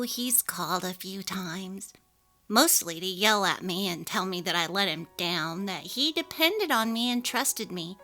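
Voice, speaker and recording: A woman's voice: medium pitch, bright, forceful tone. A woman voicing an earnest, resolute young wife and mother in a dramatically read story, with emphatic, heartfelt delivery. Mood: annoyed. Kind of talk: dramatic reading of a text-message story. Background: music.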